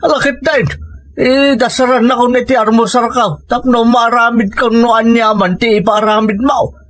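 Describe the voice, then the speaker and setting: A woman's voice: fairly high pitch, steady tone, moderate pace, dry recording, lightly acted. A woman with a Filipino accent playing a grumbling old village father in an Ilocano audio comedy drama.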